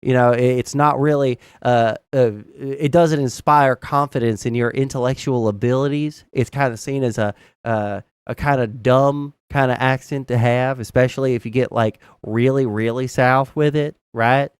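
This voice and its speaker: A man's voice: medium pitch, fairly even intonation, moderate pace, hardly any pauses, clear, resonant tone, dry recording, clean studio sound. A young man from Southern Arkansas with a Southern accent, hosting a live stream and giving blunt, rambling commentary full of fillers and swearing. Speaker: streamer host. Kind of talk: casual live-stream commentary reading chat.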